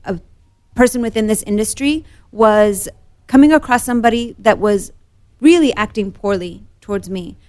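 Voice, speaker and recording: A woman's voice: mid-range voice, moderate pace, a little mellow. A woman from Morocco, a guest on a podcast, telling stories cheerfully and laughing often in casual chat.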